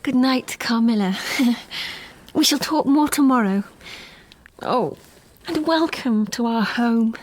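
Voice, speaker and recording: An actress playing a sheltered, lonely young gentlewoman in a gothic audio drama, earnest, polite and a little hesitant. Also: a little high, slow. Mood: shy, kindly.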